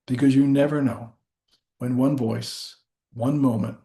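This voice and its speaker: A man giving a rehearsed motivational speech as a storyteller, speaking deliberately in short phrases with dramatic pauses. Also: medium pitch, fairly even intonation, mellow.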